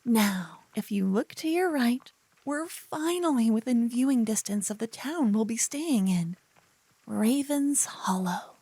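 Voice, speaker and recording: A woman playing a silly, adorable young paladin in an ASMR audio roleplay, teasing and theatrical, hushed in places. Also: fairly high pitch, animated, measured pace, husky, no room sound, exaggerated voice. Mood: proud, lecturing. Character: adorable silly young female paladin, bossy, smitten, playful know-it-all.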